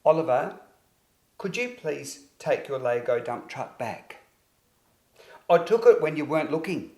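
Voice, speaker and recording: A man acts out a young boy from a children's storybook, owning up with earnest, apologetic words. The delivery is confessional and guilty. The sound is roomy, with clear echo. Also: fairly high pitch, big pitch swings, unhurried, darkish tone, pitched above the performer's own voice. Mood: sorry, earnest.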